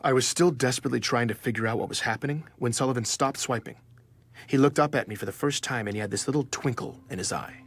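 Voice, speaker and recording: An actor playing a nervous investigative journalist in a scripted first-person audio drama. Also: male voice, mid-range voice, breathy edge. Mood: measured, uneasy. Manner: measured narration, hesitant in dialogue. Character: nervous male investigative journalist narrating his own story. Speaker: narrator.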